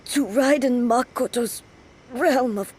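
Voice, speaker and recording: A woman playing a solemn, regal immortal warrior ruler in a video game, speaking formally, gravely and deliberately. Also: fairly high pitch, a little mellow. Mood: resolute. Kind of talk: video game character acting.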